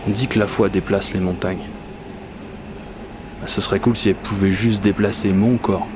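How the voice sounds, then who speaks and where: A man acting out an obsessive, whimsical dreamer in a radio drama. His low voice confides in a colloquial, self-mocking way, like a private monologue, over clear urban and transit ambience. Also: fairly even intonation, moderate pace, noisy background, close to an everyday voice. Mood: confiding, determined. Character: obsessive, whimsical Parisian man confiding a magical commuting quest. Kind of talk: first-person radio fiction monologue. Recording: urban and train ambience behind voice.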